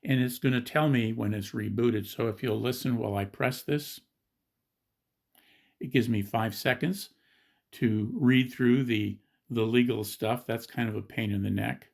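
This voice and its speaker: A man's voice: mid-range voice, fairly even intonation, unhurried, gravelly, darkish tone. A man giving a step-by-step product review and app demonstration as a gadget reviewer.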